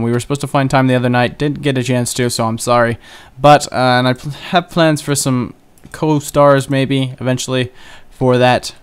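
A young man talks over his own game session in a loose, chatty way, wandering between asides and little jokes at his own expense. His voice is smooth and resonant at a middle pitch. Keyboard clicks come through from his desk. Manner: chatty, rambling, self-joking. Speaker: gaming channel host. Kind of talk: casual Let's Play commentary.

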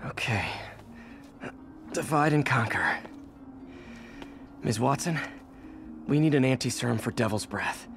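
A man's voice: medium pitch, animated, unhurried, vocal fry, pauses often, a bit bright, soft background music. A man voicing an earnest young American superhero who leads his team in a video game cutscene. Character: young male superhero, earnest, quick-witted team leader.

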